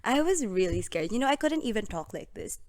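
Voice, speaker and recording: A woman's voice: mid-range voice, sing-song, a little fast, darkish tone. A young woman from the Philippines with a Filipino accent, a podcast guest telling stories in casual chat. She giggles often, talks with animation and keeps saying "literally" and "like".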